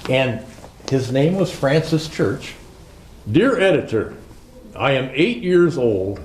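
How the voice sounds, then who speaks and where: A man's voice: medium pitch, sing-song, darkish tone, a little hiss or hum. A man playing Santa Claus as a jolly old storyteller, reading Christmas classics to children in a theatrical, lilting manner. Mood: earnest. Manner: slow, theatrical, lilting recitation. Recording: roomy, echoing indoor sound.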